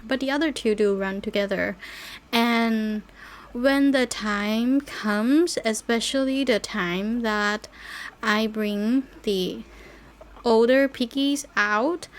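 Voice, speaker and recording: A woman with a fairly high voice hosts a casual solo podcast as a non-native English speaker. She talks very slowly, rambling through personal anecdotes in a giggly manner. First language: not English. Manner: rambling, giggly, often trailing into small asides. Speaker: podcast host.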